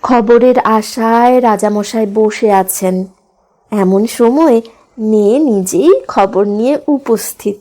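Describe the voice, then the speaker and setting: A woman narrates a fairy tale for children in a high-pitched voice. Her pace is very slow and deliberate. The delivery is expressive, scripted audiobook storytelling with a touch of drama.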